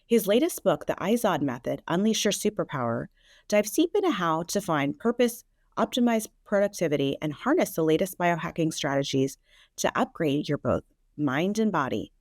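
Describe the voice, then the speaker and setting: A woman's voice: on the low side, big pitch swings, normal speed, no room sound, studio quality. A woman hosting a podcast, introducing her guest enthusiastically and asking interview questions. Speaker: podcast host.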